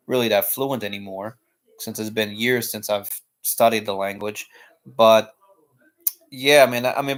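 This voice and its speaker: A young American man chatting casually on a live stream, answering viewer questions in a rambling, laid-back way full of fillers. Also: medium pitch. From United States. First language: English.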